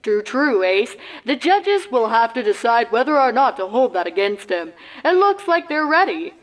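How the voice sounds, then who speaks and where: A woman voicing an excitable pony sports announcer with hyped, showy broadcast delivery in a comedic story reading. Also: fairly high pitch, moderate pace, low-quality recording. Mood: upbeat, showy.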